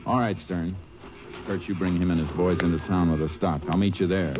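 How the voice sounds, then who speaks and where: An actor playing a steady Texas Ranger who narrates and questions suspects in a tersely measured way in a radio drama. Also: male voice, low-pitched, a crowd in the background, natural voice. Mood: stern. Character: stern, steady male Texas Ranger lawman.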